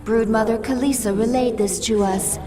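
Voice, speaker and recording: A woman's voice: a little high, steady tone, moderate pace, close to an everyday voice. A woman playing a dutiful alien swarm underling in a game, speaking in a formal, reporting manner. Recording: soundtrack music behind the voice.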